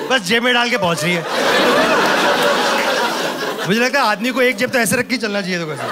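A man in his thirties performing an animated stand-up comedy set on a club stage with a handheld mic, teasing the audience. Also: sits high. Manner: animated, gestures a lot, teases the audience. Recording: loud crowd laughter and noise. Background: audience laughter.

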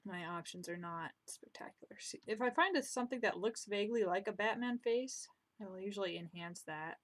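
A woman's voice: mid-range voice, a little fast. Young woman muttering while drawing. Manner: chatty, self-deprecating, muttering asides. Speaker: drawing vlogger. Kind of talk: casual commentary while drawing. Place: home room, indoors.

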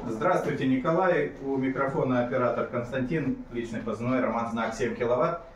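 A man's voice: mid-range voice, fairly quick. A middle-aged man making an amateur radio call as the club's operator. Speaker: radio club operator and leader. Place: small school radio room, indoors. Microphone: picked up by camera in the room. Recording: echoey room, faint noise.

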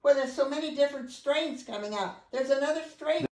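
Feisty, high-pitched woman in her nineties. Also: brisk. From United States. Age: mid-nineties. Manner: feisty, blunt, swears freely. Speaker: grandmother filmed for family social clips. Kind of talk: casual home chat. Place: living room, indoors. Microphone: phone camera a few feet away. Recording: roomy, echoing home phone video.

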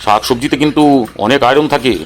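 A man's voice: mid-range voice, fast, a bit bright, a little hiss or hum, close to an everyday voice. A man playing a dry, advice-giving doctor in a comic cartoon. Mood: dry, pondering. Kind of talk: comic Bengali cartoon dialogue.

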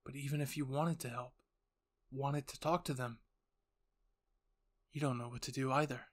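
A man's voice: mid-range voice, fairly even intonation, moderate pace. A man narrating a scripted second-person roleplay story in a dramatic storytelling style, as host.

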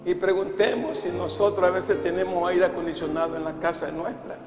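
High-pitched preacher man in echoey hall. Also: steady tone, brisk, lo-fi sound. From Ecuador. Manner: emphatic, rhetorical questions and repeated phrases. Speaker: pastor. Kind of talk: impassioned Pentecostal sermon. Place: large church hall, indoors, before a congregation. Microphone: handheld microphone through PA. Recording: echoey church hall, music behind.

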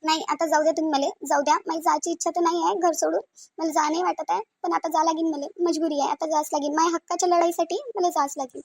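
Woman playing a high-pitched, rapid-fire, aggrieved daughter-in-law, Varhadi accent. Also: little pitch movement. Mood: resigned, firm. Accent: Varhadi Marathi. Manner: complaining, insistent, repeats herself. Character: aggrieved, stubborn young daughter-in-law in a family quarrel. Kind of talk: cartoon family drama.

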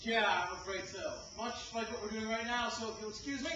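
A very high-pitched man, played by an actor, sounds far off in a noisy stage recording. He is a flustered, evasive amateur thespian, hesitant and exasperated, in live comic theatre. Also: fairly even intonation, fairly quick, natural voice. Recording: echoey noisy distant capture of live stage play.

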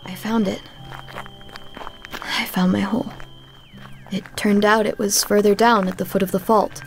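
A woman's voice: mid-range voice, flat delivery, a little slow, lightly acted, poor audio. A woman voicing a frightened, obsessed young woman in a horror manga dub, trembling and hesitant. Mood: uneasy, subdued. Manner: trembling, hesitant, dread-filled. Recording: loud background music.

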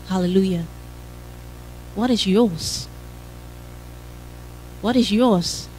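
A woman's voice: a little high, slight background noise. A woman in her thirties preaching a sermon with a handheld mic in a church hall, fervent and punctuated by hallelujahs.

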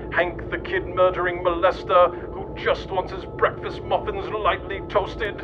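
A man reading scripted satirical narration in a mock-serious, declamatory manner. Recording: dull lo-fi audio, loud rumbling noise.

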